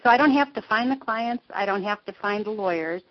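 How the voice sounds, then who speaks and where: A woman's voice: medium pitch, brisk. A woman working as a lawyer, talking about networking in a casual chat, upbeat and laughing easily. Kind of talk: casual conversation. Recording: dull lo-fi audio.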